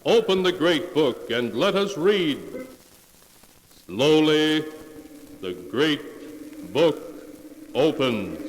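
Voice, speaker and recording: Male radio announcer, 1940s horror narration, solemn, theatrical, slow delivery, long echoing reverb. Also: mid-range voice, slight background noise. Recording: long cavernous reverb, vintage radio broadcast.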